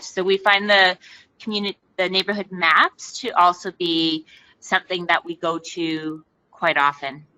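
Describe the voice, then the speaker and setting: A woman who works with a school district, answering interview questions in a measured, explanatory way. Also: on the low side, pushed and bright, clean tone, poor audio. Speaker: school district staff guest.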